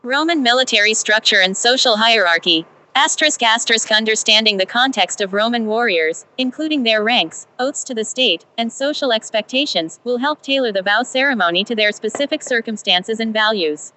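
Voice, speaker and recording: A woman's voice: a little high, lively intonation, fairly quick, few pauses, bright, forceful tone, rough recording. A woman reading a written text aloud in a literal, scripted manner. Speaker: reader.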